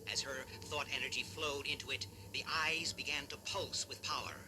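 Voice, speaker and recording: A man reading an adventure storybook aloud at home, in steady, measured narration. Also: medium pitch. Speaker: storyteller, channel host. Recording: loud hiss and background noise.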